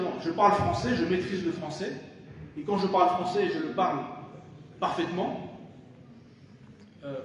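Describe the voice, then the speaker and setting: A man giving a public lecture. He talks in a thoughtful way, with frequent hesitations and restarts. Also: high voice. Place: lecture hall. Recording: echoey hall from far off, faint noise.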